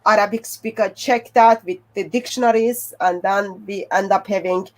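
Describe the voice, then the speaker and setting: A woman's voice: medium pitch, steady tone, moderate pace. A woman from Turkey with a Turkish accent, a native Turkish speaker, explaining her research method step by step. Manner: plain, step-by-step with fillers. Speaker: guest researcher.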